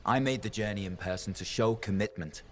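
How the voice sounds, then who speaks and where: An actor playing a prissy, self-important gentleman in a video game, haughty and pompous. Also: on the low side, a little fast, slight background noise, lightly acted. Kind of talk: video game character dialogue.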